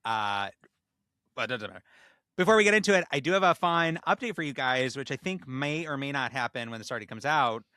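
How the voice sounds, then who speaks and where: A young man co-hosting a podcast in casual chat, with a playful, animated storytelling manner. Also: mid-range voice, brisk, no room sound, clean studio sound. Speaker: podcast co-host.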